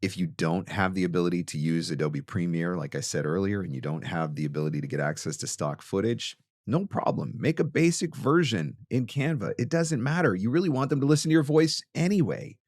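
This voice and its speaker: A man's voice: low voice, expressive, brisk, few pauses, darkish tone, no room sound, studio quality. A man hosting a podcast in a conversational coaching style, emphatic, repeating phrases for weight and asking rhetorical questions. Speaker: podcast host and voice-over coach.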